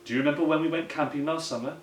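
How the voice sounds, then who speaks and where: A man's voice: fairly high pitch, fairly even intonation, very fast, natural voice. A man voicing a kindly old grandad who earnestly tells tall tales in a children's picture book. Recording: roomy echo, some background noise.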